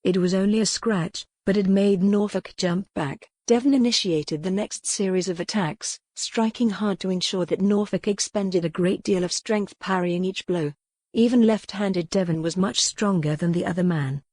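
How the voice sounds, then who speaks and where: A woman's voice: mid-range voice, normal speed, darkish tone, no room sound. A woman reading a historical romance novel as a scripted, dramatic audiobook narration.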